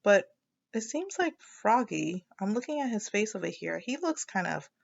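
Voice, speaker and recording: A woman's voice: medium pitch, big pitch swings, moderate pace, creaky, highs cut off. A woman teacher leading a children's read-aloud lesson, explaining as she thinks aloud and prompting listeners with questions.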